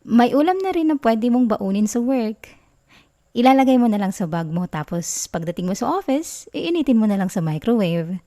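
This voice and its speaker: Female, Filipino accent, acting as a sweet, teasing girlfriend; soft, dark-toned, intimate ASMR delivery. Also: on the high side, big pitch swings, normal speed. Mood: proud, caring. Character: affectionate, caring young girlfriend, playful and sweet. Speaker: ASMR roleplay performer. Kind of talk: affectionate girlfriend ASMR roleplay in Taglish.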